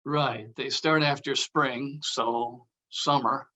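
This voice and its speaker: A man's voice: mid-range voice, fairly even intonation, slow, pushed and bright. A man playing a brash, teasing buddy in a comedy table read. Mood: intrigued. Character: brash, teasing male buddy, inappropriate but quick to cover.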